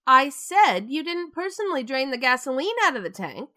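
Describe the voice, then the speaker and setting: A temperamental theatre diva in a radio play. Her high voice swings widely in pitch, dramatic and self-mocking, with insecurity under the grand manner. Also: big pitch swings, normal speed, pushed and bright, exaggerated voice. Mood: breezy.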